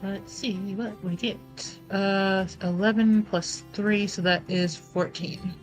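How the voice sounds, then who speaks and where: A woman playing a tabletop roleplaying game, casually musing out loud over what her character should do. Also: medium pitch, fairly even intonation, slow pace, soft background music, rough recording.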